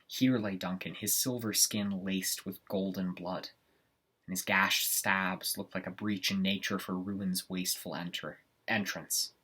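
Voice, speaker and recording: Casting: male performer as an ambitious, haunted Shakespearean noble, very deep and rough-edged, weighty theatrical delivery for a stage monologue. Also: fairly even intonation, bright, forceful tone, exaggerated voice. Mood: dark, grim. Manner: theatrical, deliberate Shakespearean soliloquy with weighted pauses.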